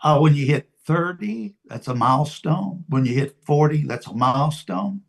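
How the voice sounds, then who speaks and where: A man in his seventies preaching an informal sermon as a pastor, deliberate and emphatic, pausing and repeating his phrases. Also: a little high, animated, vocal fry. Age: 70s.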